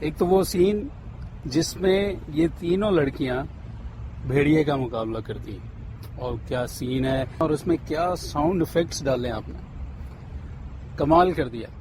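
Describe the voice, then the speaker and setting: A man's voice: on the high side, a little slow, long pauses, noisy background. A man chatting casually as an interview guest. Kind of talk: casual chat.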